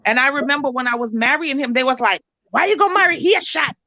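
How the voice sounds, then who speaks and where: A woman's voice: high voice, fast, pushed and bright, no room sound, highs cut off. A woman with a Jamaican accent, a guest talking emphatically in casual radio chat and often asking if she is understood. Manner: emphatic, repeats "you understand what I'm saying".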